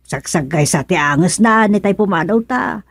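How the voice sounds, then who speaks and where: A woman's voice: medium pitch, lively intonation, moderate pace, no echo, clean studio sound. A woman playing a fretful, easily alarmed woman in an Ilocano radio comedy, full of worried exclamations. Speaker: radio drama character.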